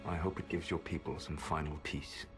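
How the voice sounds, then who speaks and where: An actor playing a solemn, regretful schemer in a fantasy game, speaking formally and deliberately. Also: male voice, rumbling low, flat delivery. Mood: solemn resolve. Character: solemn, regretful male schemer burdened by a grim purpose. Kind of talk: dramatic video game dialogue. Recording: game music behind the voice.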